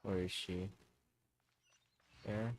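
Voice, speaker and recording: A man's voice: sits low, steady tone, drawn-out words, slight background noise. A man livestreaming, giving casual reaction commentary on a game story.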